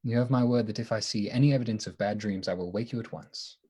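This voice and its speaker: A man acting in a radio play as an earnest, anxious doctor devoted to the woman he loves, with a halting, emotionally open delivery. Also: lowish voice, dark tone, husky. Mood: tender, reassuring.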